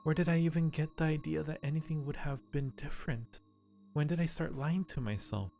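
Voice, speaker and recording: A man's voice: on the high side, brisk, gravelly, mellow, voice pushed up. A man voicing a self-loathing female creature from a game, muttering in anguished repetition over music. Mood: bitter despair. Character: self-loathing, hungry female vampire mole-like digger. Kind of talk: reading game dialogue aloud.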